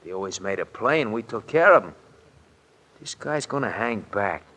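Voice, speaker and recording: A man's voice: on the low side, animated, normal speed, sharp tone. A man playing a tough, cynical detective's sidekick in a 1950s radio drama, blunt, slangy and scoffing. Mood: gruff, cynical. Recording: old radio recording with background noise.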